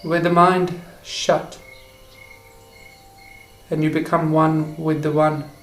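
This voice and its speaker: A man guiding a meditation for relaxation and sleep, speaking in short, halting phrases. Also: fairly high pitch, flat delivery, fairly bright tone. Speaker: meditation guide. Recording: roomy echo with faint insect noise.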